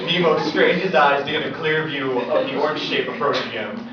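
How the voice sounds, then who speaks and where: A man's voice: medium pitch, brisk. A man reading a comic story aloud at a convention panel, dramatically and with mock seriousness. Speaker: fan fiction reader at a convention panel. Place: large indoor convention room. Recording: echoey hall, distant, dull, loud crowd noise. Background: loud audience noise.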